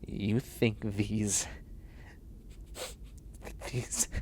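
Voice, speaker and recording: A man's voice: on the low side, level tone, extremely slow, a little mellow, a little hiss or hum. A man playing a flustered, sardonic young man turning into a catboy in a comedic sci-fi audio roleplay, stammering with exasperation and sarcasm. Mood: indignant. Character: flustered, sardonic young man sprouting cat ears and tail.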